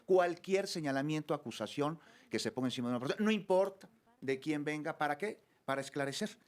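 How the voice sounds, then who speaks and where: A man moderating a political debate in a measured, emphatic way, stepping in to keep time. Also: on the high side, animated.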